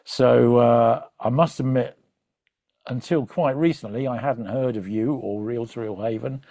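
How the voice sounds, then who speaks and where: A man hosts a conversational interview in a mellow voice at a middling pitch. His delivery is informal and a little rambling, and the sound is dry and close. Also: unhurried, no echo. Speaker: interviewer, host.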